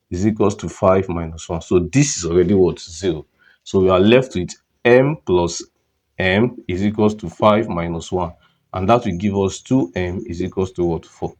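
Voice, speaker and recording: A man with a cold teaching a maths lesson, explaining step by step and putting questions to the students. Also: sits low. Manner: repeats words and restarts phrases. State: has a cold.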